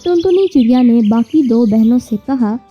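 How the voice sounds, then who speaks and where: A woman's voice: a little high, animated, moderate pace, lo-fi sound. A woman narrating a scripted children's story, with music and birdsong behind her.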